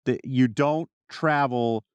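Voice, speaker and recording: A man co-hosting a podcast, chatting casually in an animated, jokey way and jumping in often. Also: medium pitch, slow pace, smooth, no room sound. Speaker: podcast co-host. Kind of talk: casual chat about a science fiction series.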